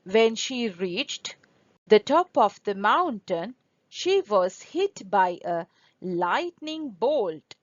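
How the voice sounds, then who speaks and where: A woman narrating a scripted fairy tale for English learners in a deliberate, expressive storybook manner. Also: mid-range voice, no echo, highs cut off.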